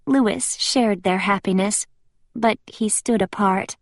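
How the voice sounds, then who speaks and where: A woman reading a children's storybook aloud with expressive, deliberate phrasing. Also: medium pitch, dry recording, clean studio sound.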